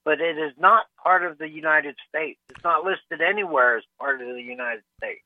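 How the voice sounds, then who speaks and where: A man calling in to a talk radio show, speaking haltingly with many pauses and ums. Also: on the high side, dry recording. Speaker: caller. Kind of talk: phone-in call to talk radio. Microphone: telephone. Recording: tinny phone line.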